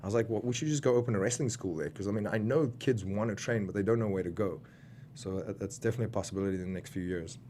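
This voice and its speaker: Fast-talking South African man, lowish voice. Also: warm tone, slight background noise. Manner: rambling, lots of fillers and restarts, laughs often. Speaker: guest wrestler. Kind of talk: casual interview chat.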